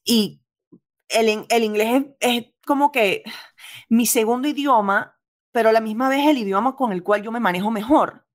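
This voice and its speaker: Lively Venezuelan woman, slightly high voice. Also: moderate pace. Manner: lively, mixes English phrases into Spanish, laughs often. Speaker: stand-up comedian, guest. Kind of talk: casual interview chat.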